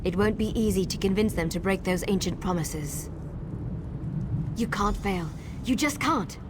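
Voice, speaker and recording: An actress as a Wood Elf woman from a fantasy game, anxious for her people. She speaks in a mid-range voice, earnest and urgent, with clear background ambience behind her. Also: mid-range voice, measured pace, background noise, lightly acted. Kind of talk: video game quest dialogue.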